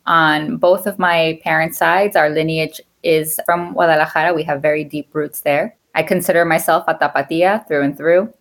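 A woman from Mexico with a somewhat low voice, guesting on an interview podcast. She talks earnestly about her roots and slips easily into Spanish phrases. Her delivery is measured and sincere. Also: steady tone. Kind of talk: interview conversation.

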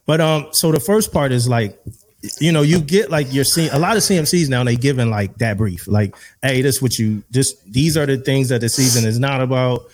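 A man in his thirties co-hosting a podcast, talking in relaxed slang with dropped verbs and casual swearing. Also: mid-range voice, normal speed. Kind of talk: casual chat.

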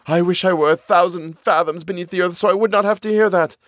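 A man's voice: medium pitch, animated, a little fast, no room sound, studio quality, natural voice. A man voicing a fretful, wicked storybook mother. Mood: terrified. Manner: fretful, dramatic. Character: anxious, guilt-ridden wicked mother in dread.

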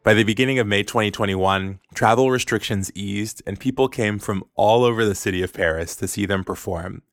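A man hosting a podcast, reading a scripted story in a measured, clear way. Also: lowish voice, no echo. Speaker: podcast host. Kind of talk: scripted narration.

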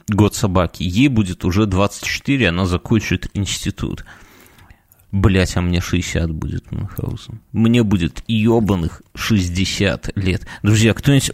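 A man's voice: low voice, no echo, studio quality. A man in his thirties, a podcast co-host, rambling and swearing casually about getting older. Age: 30s. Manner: profane, rambling, self-mocking. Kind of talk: casual chat.

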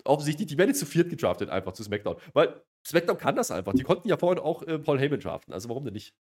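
A man's voice: fairly high pitch, very animated, rapid-fire, a little mellow. A man co-hosting a wrestling review in casual, unscripted banter, with a sarcastic, mock-enthusiastic way of recapping. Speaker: wrestling show review co-host.

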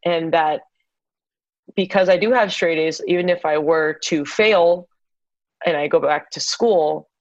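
Young woman rambling chatty in an interview, kinda lowish voice, dull dry lo-fi sound. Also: a little slow, long pauses, no echo. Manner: chatty, many fillers, laughs easily. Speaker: interview guest, singer. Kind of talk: casual interview talk.

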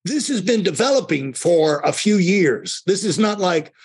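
A man hosting a conversational podcast interview, talking in a halting, rambling way and often rephrasing himself. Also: sits high, very animated, breathy edge.

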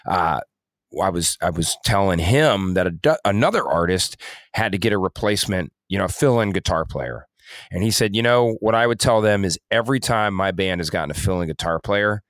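A man co-hosting a podcast in casual conversation, speaking in a halting, rambling way with frequent self-corrections. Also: deep voice, fairly bright tone, no room sound, clean studio sound. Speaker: podcast co-host.

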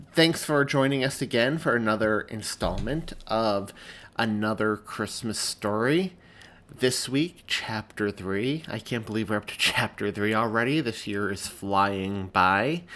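A man introduces a podcast episode as its host in an informal way. His voice sits fairly low and he takes his time between phrases. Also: a bit bright.

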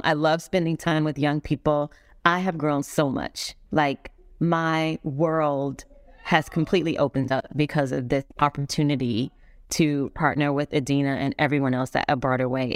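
A woman's voice: low voice, no room sound, clean studio sound. A middle-aged woman guest speaking earnestly and thoughtfully in a casual interview. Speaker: guest, executive director of a foundation.

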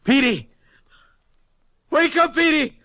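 An actor playing a sick, frightened rancher husband and father in a 1950s radio drama, speaking in a halting, anxious way. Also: extremely high pitch, expressive, very noisy, exaggerated voice. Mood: panicked.

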